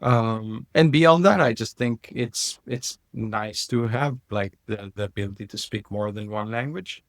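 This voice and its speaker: A man's voice: fairly low pitch, unhurried, no echo. A man from Israel with an Israeli accent, a native Hebrew speaker talking hesitantly as a guest parent. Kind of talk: casual conversation.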